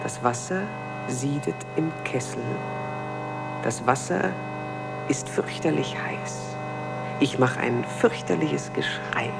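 A woman's voice: extremely low pitch, takes it slowly, gravelly, long pauses, over loud music, full character voice. A woman playing a sleepy, frightened child in a poetic radio play, speaking in short incantatory phrases. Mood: haunted. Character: sleepy child remembering a frightening night, pleading.

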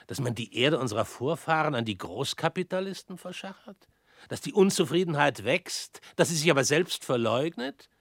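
Brooding ideologue, hushed and then declaiming, radio drama, close dry mic. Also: male voice, medium pitch, vocal fry, no echo, full character voice. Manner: intense, shifting between hushed whispers and raised declamation. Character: former interrogator turned self-declared criminal, man, intense.

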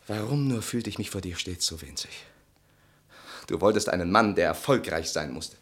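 An actor playing a resentful, self-absorbed, brooding husband in a German literary radio play, with an intense, articulate, theatrical delivery. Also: male voice, sits low, expressive, moderate pace, fairly bright tone.

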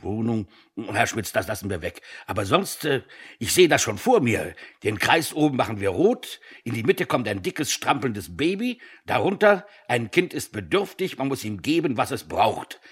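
An actor playing a brisk, self-important company director in a German radio drama, pompous and commanding. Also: male voice, fairly low pitch, a bit bright, breathy edge, full character voice. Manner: pompous, commanding, talks over others.

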